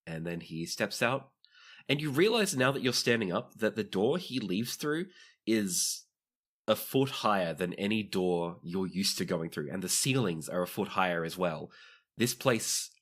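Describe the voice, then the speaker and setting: A man's voice: deep voice, animated, unhurried, fairly bright tone. A man improvising narration as game master of a tabletop roleplaying game.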